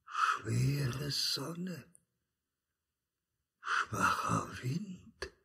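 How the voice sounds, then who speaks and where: Very gravelly, breathy blind old man. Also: medium pitch, animated, bright, forceful tone, full character voice. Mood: weary, bewildered. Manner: halting, declamatory verse delivery. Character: frail, blind old man, frightened and pleading. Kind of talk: 1950s radio drama acting.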